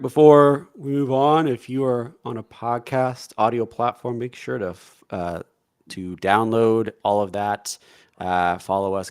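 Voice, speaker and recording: A man hosting a casual sports podcast from his home, talking in a relaxed, rambling way. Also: medium pitch, creaky, no echo, clean studio sound. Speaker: podcast host. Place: home room by back door.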